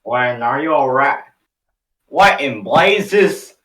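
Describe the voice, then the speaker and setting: A man voicing a caring old grandfather, firm and folksy, in an animated series table read. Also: mid-range voice, takes it slowly, distant mic, pitched above the performer's own voice. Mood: astonished. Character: gruff, caring old grandfather, steady and decisive.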